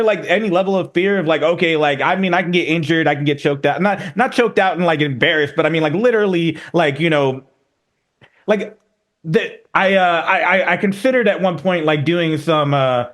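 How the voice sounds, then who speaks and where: A man on a podcast working his way through a question, stopping and restarting often. His voice sits a little high for a man, and he sounds loose and easygoing. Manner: halting, full of restarts and fillers. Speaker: podcast host. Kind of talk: casual interview questions.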